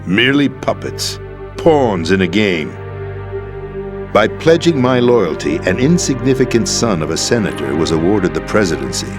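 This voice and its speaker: An actor playing a disillusioned, world-weary middle-aged American president in a dramatic video game scene, with an emphatic theatrical delivery. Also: male voice, lowish voice, big pitch swings, moderate pace. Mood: resigned, bitter. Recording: game soundtrack music underneath.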